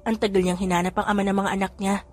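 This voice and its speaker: A woman narrating a scripted romance audio drama in a steady, measured reading. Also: medium pitch, soft background music. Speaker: storyteller.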